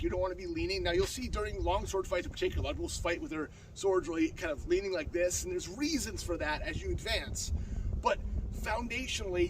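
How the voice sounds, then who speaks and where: A man in his thirties with a stuffed-up nose, teaching sword basics in a backyard, talking fast with plenty of fillers and restarts. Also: high voice. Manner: talks fast with frequent fillers and restarts, plain and earnest. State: stuffed up from a cold. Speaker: sword-fighting instructor. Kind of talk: casual outdoor tutorial. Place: backyard lawn outdoors. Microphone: far camera on a tripod. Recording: distant mic with outdoor noise.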